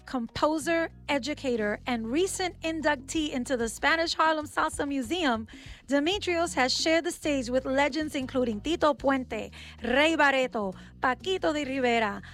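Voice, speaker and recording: A woman's voice: sits high, a bit bright. A woman co-hosting a radio show, reading a polished guest introduction and asking questions. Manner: upbeat, scripted-sounding introductions. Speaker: radio co-host.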